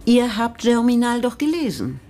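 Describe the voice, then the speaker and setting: An actress playing a strict, earnest literature teacher in a radio drama, didactic and firm. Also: female voice, a little high, very animated, normal speed, dark tone, slight background noise. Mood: firm, impatient. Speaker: school teacher.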